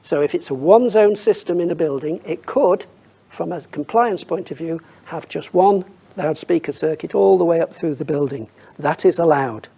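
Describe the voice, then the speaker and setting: A man's voice: fairly high pitch, moderate pace, creaky, dark tone, breathy edge. A man speaking as an industry expert on a panel, explaining technical points informally and thinking aloud as he goes.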